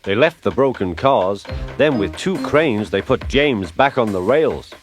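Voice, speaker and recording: Male storybook narrator, low register, very lively intonation, expressive character reading, music underneath. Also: unhurried. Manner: expressive, acts out character voices. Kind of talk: scripted children's story narration.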